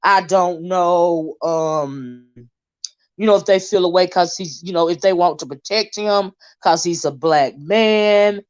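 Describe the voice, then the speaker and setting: A woman's voice: on the low side, measured pace. A woman hosting her own commentary stream, talking bluntly and emphatically, circling back on her points with plenty of fillers. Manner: blunt, emphatic, repeats herself with many fillers. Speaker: commentary channel host. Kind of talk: live-stream commentary monologue. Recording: dull lo-fi stream audio.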